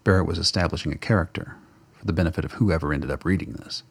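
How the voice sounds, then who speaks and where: A man with a gravelly, husky voice reading scripted narration for a radio play. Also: deep voice, quick pace, no room sound.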